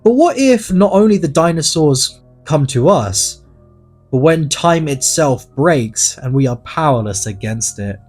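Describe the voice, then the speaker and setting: Husky male video essayist over music. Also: on the high side, very animated, a little slow, darkish tone. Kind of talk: scripted video essay narration. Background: background music.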